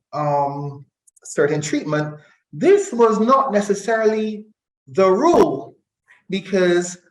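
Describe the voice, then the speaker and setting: A middle-aged man with a fairly high voice talks in a very echoey room. He is an interview guest who explains things deliberately, repeating phrases to drive points home. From Barbados. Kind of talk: reflective conversational interview.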